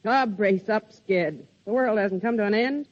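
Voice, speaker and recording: A woman's voice: medium pitch, measured pace, natural voice. A woman playing a brassy, jealous showgirl with a pointed, teasing way of talking in a radio drama. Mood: needling. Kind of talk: 1930s radio drama acting. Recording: muffled, reverberant old radio recording.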